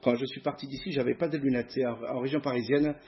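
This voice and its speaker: A middle-aged man with a southern French accent, a guest preacher giving a sermon in church, hesitant with many pauses. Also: mid-range voice, steady tone, muffled recording. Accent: southern French (Provence). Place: church hall before a masked congregation.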